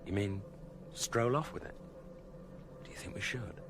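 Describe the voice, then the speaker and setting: A man's voice: fairly low pitch, lively intonation, unhurried, background noise. An actor playing an easygoing male space drifter in a radio comedy, casual, slangy and wry. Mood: hesitant. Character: easygoing, hip male galactic drifter, keen on starships. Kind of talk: radio comedy drama acting.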